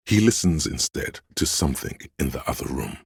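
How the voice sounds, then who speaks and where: A man narrates a video game with an exceptionally deep voice. It is full of gravel and heavy husk, and the delivery is measured and dramatic. The sound is dry, with hardly any room on it. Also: big pitch swings, no echo. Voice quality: gravelly, husky.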